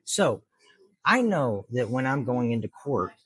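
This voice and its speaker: Husky plainspoken man. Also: medium pitch, sing-song, a little slow, a bit bright, no room sound. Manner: earnest, plainspoken, explains patiently with many fillers. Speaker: district judge, guest. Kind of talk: casual interview answers.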